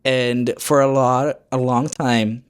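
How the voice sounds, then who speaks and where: Young guy vlogging, english is his second language, average pitch, earnest and encouraging, keeps restarting words. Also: flat delivery, slow, smooth. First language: not English. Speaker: YouTuber. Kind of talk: casual advice vlog to camera.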